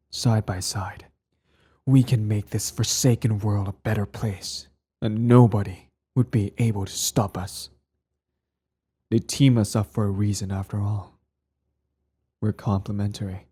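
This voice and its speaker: A man playing a former hero turned villain in an ASMR roleplay, earnest and bitter, speaking in a measured, intense way with dramatic pauses. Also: lowish voice, dark tone, slightly rough. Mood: earnest, persuasive.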